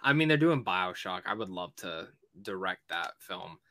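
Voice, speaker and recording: Bright, forceful man in casual, sweary chat. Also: sits low, expressive, normal speed, no room sound, studio quality. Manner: informal, sweary, peppered with "like". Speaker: podcast host.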